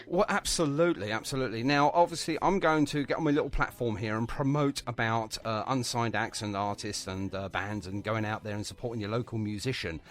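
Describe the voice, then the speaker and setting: A man's voice: mid-range voice, fairly quick, few pauses. A man hosting a casual radio interview, chatting in a wordy, encouraging way. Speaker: radio show host.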